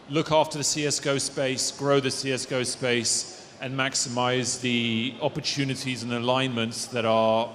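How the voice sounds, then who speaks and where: A man speaking in a measured, businesslike way as a panelist. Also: medium pitch, fairly bright tone. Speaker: league commissioner. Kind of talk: conference panel talk. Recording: reverberant hall.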